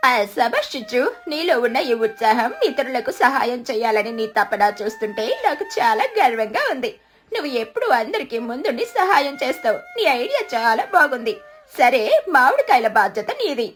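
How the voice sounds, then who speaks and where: A woman's voice: fairly high pitch, very animated, fairly quick, fairly bright tone, faint music behind. A woman voicing a kindly, encouraging female village bird who organizes everyone in a children's cartoon, speaking expressively. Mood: proud, encouraging. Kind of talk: Telugu children's cartoon dialogue.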